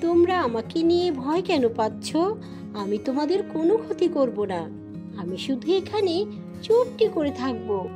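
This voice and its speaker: A gentle chili pepper princess from a cartoon, voiced by a woman, high and lilting. She sounds reassuring, with music underneath. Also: sing-song, measured pace. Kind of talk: children's cartoon voice acting. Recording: music behind the voice.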